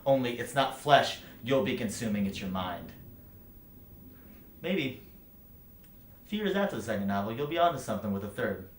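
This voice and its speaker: An actor playing a bitter, brutally frank writing teacher in a theatrical monologue, speaking with scorn, emphasis and profanity. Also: male voice, medium pitch, lively intonation, moderate pace, pauses often, close to an everyday voice. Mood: grim, knowing. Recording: roomy echo, light background noise.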